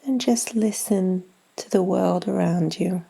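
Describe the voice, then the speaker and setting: A woman guides a meditation with slow, soothing instructions. Her voice is mellow and relaxed, sitting at a medium pitch with heavy vocal fry. She speaks softly and leaves generous pauses between short phrases. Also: low-quality recording. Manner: soothing, gentle, unhurried instruction with long pauses. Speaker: yoga nidra guide.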